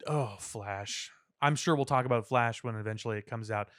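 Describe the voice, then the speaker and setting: A man's voice: mid-range voice, normal speed, no echo, clean studio sound. A man co-hosting a pop culture podcast, talking casually in a discursive, analytical way. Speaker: podcast co-host. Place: podcast studio.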